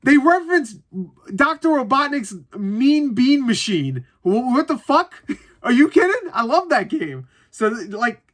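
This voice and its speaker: A man with a notably high, bright voice gives an off-the-cuff movie review. He rambles informally, jokes around and swears freely. He leans on repetition to drive his opinions home. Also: big pitch swings, unhurried.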